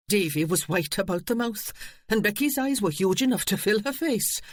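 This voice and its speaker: Casting: narrator as soft Welsh older woman, sing-song. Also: medium pitch, no room sound, clean studio sound, natural voice. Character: gentle Welsh older lady, mournful.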